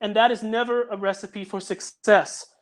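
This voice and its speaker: A man's voice: high-pitched, steady tone, normal speed. A man who makes audio dramas, chatting on a video call in an earnest way and often restarting his sentences. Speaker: audio drama producer and guest. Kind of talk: casual podcast chat.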